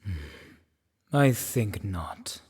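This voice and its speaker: A man playing an imperious, suspicious king in a fan-made radio drama, speaking in a stately, deliberate, regal manner. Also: fairly low pitch, lively intonation, darkish tone, husky, exaggerated voice. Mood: cool, commanding. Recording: long hall reverb.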